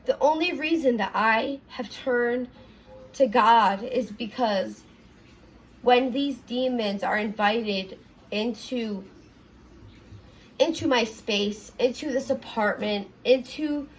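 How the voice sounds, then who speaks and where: A young woman with a raspy voice, talking earnestly in a rambling confessional vlog from her apartment, full of fillers and restarts. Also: a little high, slow pace, poor audio. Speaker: vlogger.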